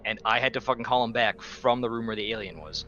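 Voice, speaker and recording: A man's voice: mid-range voice, quick pace, bright, forceful tone, lo-fi sound. A man playing a tabletop roleplaying game, talking in a blunt, informal way. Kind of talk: casual table talk. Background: faint background music.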